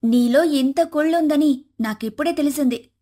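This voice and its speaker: A woman voicing a vain, quarrelsome younger sister in an animated family story. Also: high-pitched, moderate pace, close to an everyday voice. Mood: indignant.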